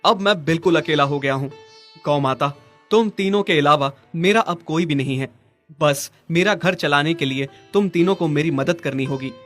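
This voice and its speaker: A man's voice: fairly high pitch, moderate pace, fairly bright tone, lightly acted. A man playing a patient young cow owner in a cartoon story, earnest and mild in manner. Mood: forlorn. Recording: music behind the voice.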